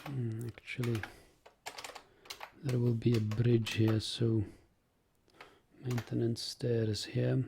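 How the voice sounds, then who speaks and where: A young man with a gravelly, fry-laden voice at an ordinary pitch talks through his moves in a strategy game, stopping and restarting as he weighs each choice. He also answers his viewers' chat while keys clatter in the background. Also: little pitch movement, slow, long pauses, warm tone, slight background noise. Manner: hesitant, muttering plans with restarts. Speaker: game streamer. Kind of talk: thinking aloud while playing a strategy game.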